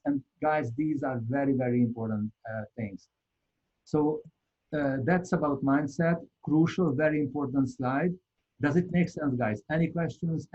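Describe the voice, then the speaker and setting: A man with a Hungarian accent presenting a live webinar, talking earnestly and haltingly with many repeated words. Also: mid-range voice, warm tone, slightly rough, dull audio.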